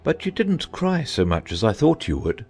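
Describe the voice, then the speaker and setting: A man as a gentle, mellow, wistful knight. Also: medium pitch, wildly swooping pitch, moderate pace, breathy edge, heavily acted. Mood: gentle, doubtful. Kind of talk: dramatized audiobook acting.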